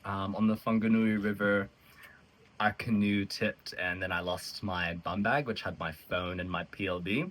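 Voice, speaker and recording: Man, 20s, from Australia, very deep voice, matter-of-fact, run-on telling. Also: steady tone, normal speed, a bit bright. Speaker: interviewee hiker.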